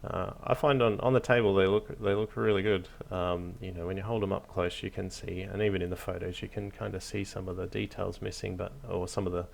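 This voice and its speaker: A man hosting a hobby tutorial, narrating casually off the cuff in a hesitant, low-key, encouraging way. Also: flat delivery, fairly quick, vocal fry, few pauses. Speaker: hobby craft tutorial host.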